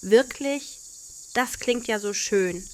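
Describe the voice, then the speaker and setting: A woman voicing a curious, gentle young girl full of wonder in a children's bedtime story. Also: a little high, takes it slowly, no room sound.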